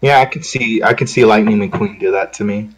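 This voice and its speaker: A man's voice: fairly low pitch, steady tone, fairly quick, a crowd in the background, poor audio. A man chatting casually and jokingly with a group during a game. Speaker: guest player in a group playthrough.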